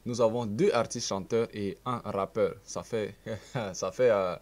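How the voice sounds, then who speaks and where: A man hosting an arts show in a broadcast studio, talking with many hesitant filler pauses and little chuckles. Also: fairly low pitch, steady tone, a little mellow. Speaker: radio and TV arts show host. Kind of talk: studio interview chat.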